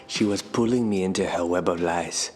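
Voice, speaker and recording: A man's voice: low-pitched, normal speed, a little mellow, faint music behind, close to an everyday voice. An actor playing a brooding, suspicious man's inner voice in a thriller voice-over. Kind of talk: dramatic voice-over.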